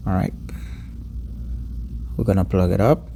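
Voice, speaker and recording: A man giving an unscripted step-by-step repair tutorial, pausing mid-sentence and repeating words as he goes. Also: sits low, fairly even intonation, creaky, warm tone, slight background noise.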